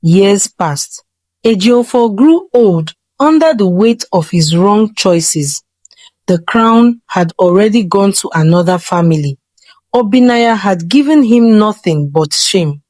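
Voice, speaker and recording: A woman narrating a scripted folktale in a measured, dramatic storytelling manner. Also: mid-range voice, animated, a little mellow, dry recording.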